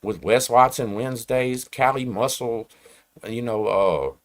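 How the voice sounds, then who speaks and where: A man's voice: mid-range voice, unhurried. A man, hosting his channel and ranting to camera in emphatic streetwise slang. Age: 40s. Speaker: host. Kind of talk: casual rant to camera.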